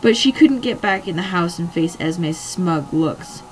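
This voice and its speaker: A young woman with a hoarse, fading voice, chatting in a rambling way and then reading a novel aloud from home on a webcam. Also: lowish voice, lively intonation, measured pace, rough recording. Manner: rambling, chatty, many fillers. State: hoarse, losing voice. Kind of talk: casual vlog chat and book reading.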